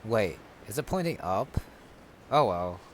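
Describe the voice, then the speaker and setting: A man's voice: fairly low pitch, big pitch swings, a little slow, slight background noise. A man giving casual gameplay commentary, musing and thinking aloud. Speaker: gamer.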